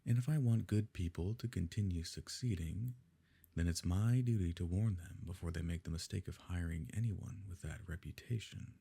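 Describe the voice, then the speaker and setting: A man playing a possessive evil nobleman in an ASMR romance roleplay, speaking in a measured, formal, quietly coercive way with a husky, gravelly voice. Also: sits low, exaggerated voice. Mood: cold, menacing. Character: smooth, possessive evil nobleman courting a grieving beloved. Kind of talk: ASMR romance roleplay, scripted dramatic monologue.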